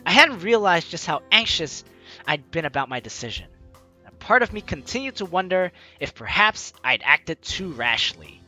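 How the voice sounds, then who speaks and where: Guy doing a lets play, reads story out loud dramatically, super bright voice, kinda high, swings up and down. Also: normal speed, faint music behind. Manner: expressive, reacts with enthusiasm. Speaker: gaming video host. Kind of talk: animated let's play, reading game text aloud dramatically.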